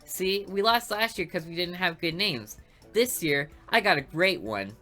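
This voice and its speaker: A woman's voice: lowish voice, animated, a little slow, sharp tone, faint music behind. A woman reading a visual novel aloud for a let's play, with playful asides between the lines.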